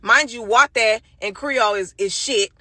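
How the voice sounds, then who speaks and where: A woman's voice: a little high, moderate pace, bright, forceful tone, no echo. A sassy, emphatic woman telling a personal story casually, with some swearing.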